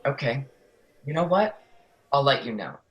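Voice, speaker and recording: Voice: mid-range voice, expressive, moderate pace, muffled recording, lightly acted. An actor playing a scornful, foul-mouthed jail officer in an audio play, brusque, sarcastic and bullying. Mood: impatient.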